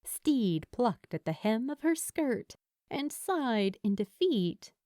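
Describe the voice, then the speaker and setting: A woman reading a scripted story as narrator in an expressive storytelling style. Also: fairly high pitch, slow pace, no echo, clean studio sound. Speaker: fan fiction narrator.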